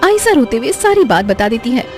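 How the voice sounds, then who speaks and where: A woman's voice: on the high side, sing-song, brisk, a little mellow, soft background music. A woman narrating a scripted cartoon moral story.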